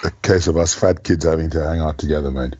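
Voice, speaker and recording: A man in his forties from Zimbabwe with an extremely deep, low voice, speaking in a relaxed, matter-of-fact way. The sound is dry and somewhat dull, like a lo-fi remote recording. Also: quick pace, husky, no echo. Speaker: podcast guest and businessman. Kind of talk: casual podcast chat.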